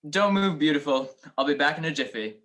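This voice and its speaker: A man's voice: on the high side, lively intonation, a little fast, bright, forceful tone, slight background noise. A young man playing a wry, unflappable detective husband in an amateur 1940s-style radio drama. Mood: playful. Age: young adult.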